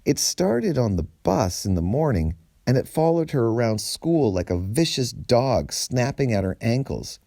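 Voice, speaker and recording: Mellow, expressive male storyteller. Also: medium pitch, measured pace, gravelly, hardly any pauses, no room sound, clean studio sound. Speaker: storyteller reading aloud.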